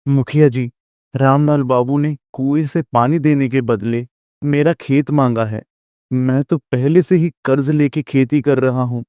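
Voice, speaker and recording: A man's voice: medium pitch, fairly even intonation, a little slow, clean tone, no echo, highs cut off, lightly acted. A man voicing a worried, humble village farmer in a Hindi moral-story cartoon, earnest and pleading. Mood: troubled.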